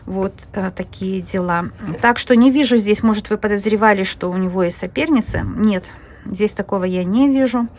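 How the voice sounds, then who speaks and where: A woman's voice: medium pitch, normal speed, a little mellow. A woman reading tarot cards to viewers in a rambling, chatty way, full of fillers and restarts. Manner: addresses viewers directly as friends and girls. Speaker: tarot reader. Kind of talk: casual tarot reading monologue.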